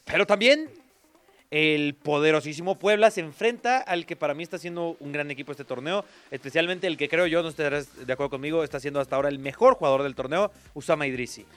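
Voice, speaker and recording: A man's voice: on the high side, fast, fairly bright tone. A man co-hosting a casual football talk show, joking and teasing. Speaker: sports show co-host.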